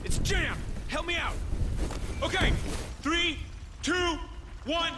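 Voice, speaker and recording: Casting: young game hero, very high strained pitch, urgent and protective, loud rumbling disaster behind. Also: male voice, takes it slowly, background noise. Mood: urgent, alarmed. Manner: urgent shouting. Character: frightened, protective young son leading his brother.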